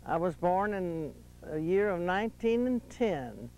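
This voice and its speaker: A woman, recalling her ancestors in a halting, thoughtful way. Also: lowish voice, lively intonation, a little mellow, rough recording. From Western North Carolina. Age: 90s. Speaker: interviewee.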